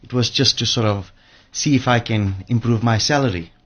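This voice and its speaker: A man's voice: medium pitch, normal speed, muffled recording. An older man with a South African accent, a guest in a radio interview, telling his story in an informal, reflective way. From Johannesburg, South Africa. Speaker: interview guest, pension fund trustee.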